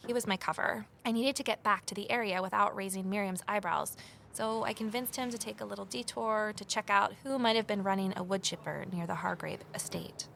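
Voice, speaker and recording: A woman's voice: mid-range voice, fairly quick, creaky, fairly bright tone, a little hiss or hum. An actress playing a determined, inquisitive investigator who tells her story in an earnest, confiding way. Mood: candid. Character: Evelyn, determined, inquisitive young woman investigator and narrator. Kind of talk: first-person scripted drama narration and dialogue.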